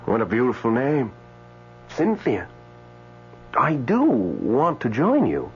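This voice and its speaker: An actor playing an indignant, newly dead man in a radio drama, speaking with hesitant stammers and dramatic pauses. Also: medium pitch, wildly swooping pitch, heavily acted. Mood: pleading. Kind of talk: radio drama acting with first-person narration. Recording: dull radio broadcast, faint mains hum.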